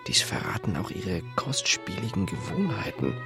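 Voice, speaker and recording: An actor playing an insecure, brooding young clerk and lover in a German radio play. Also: male voice, deep voice, big pitch swings, normal speed, gravelly, heavily acted. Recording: loud music behind the voice.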